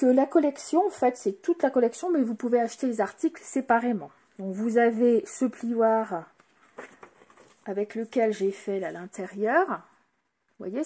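Woman, craft demonstrator, mellow slightly breathy voice, hesitant delivery full of filler pauses. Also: mid-range voice. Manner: hesitant, many filler pauses, showing items. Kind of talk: casual product presentation.

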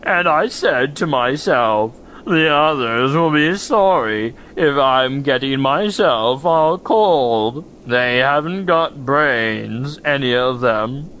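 A man's voice: mid-range voice, expressive, a bit bright, slight background noise, rough recording. A man playing a gloomy, self-pitying donkey in an audio drama, with mournful, understated complaints. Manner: slow, mournful, understated, long-suffering complaints.

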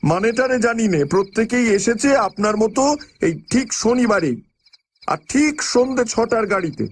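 High-pitched husky station master, ominous village gossip, halting and wary, suspense radio play. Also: male voice, lively intonation, exaggerated voice. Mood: ominous, secretive. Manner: halting, hesitant, full of pauses and murmured interjections. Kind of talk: Bengali audio drama acting.

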